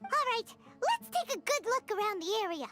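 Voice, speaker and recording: Squeaky, very high childlike game fairy. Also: female voice, very animated, normal speed, faint music behind. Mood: eager. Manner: eager, talks of self in third person. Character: tiny chirpy childlike fairy girl companion. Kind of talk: video game voice acting.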